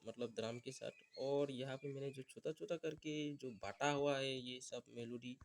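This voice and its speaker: A man who is not a native Hindi speaker gives a step-by-step beat-making tutorial, talking in a halting, apologetic way. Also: medium pitch, steady tone, slight background noise. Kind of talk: music production tutorial. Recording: beats and instrument clips playing loud.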